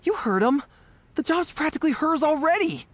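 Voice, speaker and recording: A woman's voice: sits high, lively intonation, moderate pace, a little hiss or hum. A woman playing a worried, wary young gang member in a video game, plain-spoken. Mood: suspicious.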